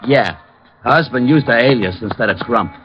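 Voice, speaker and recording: An actor playing a dim, hesitant, eager police sergeant in an old radio detective drama. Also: male voice, medium pitch, over music, natural voice. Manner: hesitant, oafish, slow on the uptake. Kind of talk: 1940s-50s radio comic drama acting. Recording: muffled old-time radio recording.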